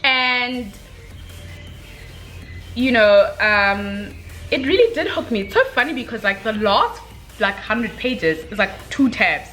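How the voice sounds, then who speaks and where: A woman giving a casual book review in an expressive, slangy way, talking to viewers like girlfriends with emphatic check-ins. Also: fairly high pitch, takes it slowly, pauses often, soft background music.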